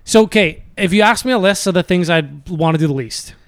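A man's voice: sits high, brisk, no echo. A man co-hosting a podcast, teasing and asking questions. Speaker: podcast co-host. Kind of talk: casual podcast chat.

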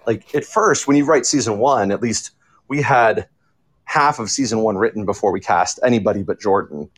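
A man's voice: fairly low pitch, moderate pace, husky. A man talking casually as a writer on a discussion panel. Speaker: audio drama writer and panelist.

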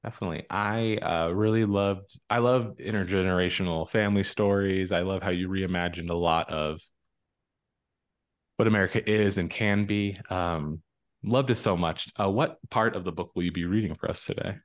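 A man's voice: low-pitched, fairly even intonation, moderate pace. A man hosting a book podcast in a casual, conversational style. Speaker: podcast host.

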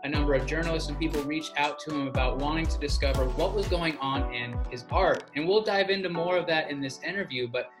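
A man's voice: fairly high pitch, a little fast, hardly any pauses. Upbeat male podcast host over music. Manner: enthusiastic, chatty, many fillers. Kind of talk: interview podcast intro and chat.

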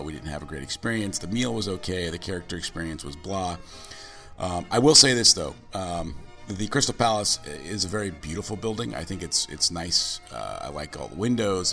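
A man hosting a casual podcast chat, hoarse from a cold with a scratchy voice, talking eagerly with plenty of fillers. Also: low voice, pushed and bright. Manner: chatty, enthusiastic, many fillers. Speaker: podcast host.

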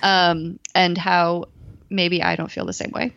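A woman's voice: fairly low pitch, flat delivery, measured pace, fairly bright tone, highs cut off. A woman co-hosting a casual podcast chat. She rambles with plenty of fillers and laughs easily. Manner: giggly, rambling, lots of fillers. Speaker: podcast co-host.

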